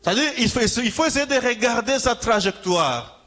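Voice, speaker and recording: A man interpreting a sermon live, phrase by phrase, in a halting way, often repeating and rephrasing himself. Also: very high-pitched, animated, pushed and bright.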